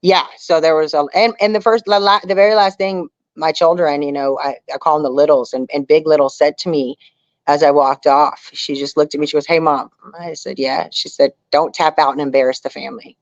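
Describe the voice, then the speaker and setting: A woman's voice: deep voice, steady tone, a little fast, no echo. Candid woman storyteller on a dull, dry connection. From Houston, Texas area. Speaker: interview guest, outdoorswoman and reality show contestant. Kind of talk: casual storytelling in an interview.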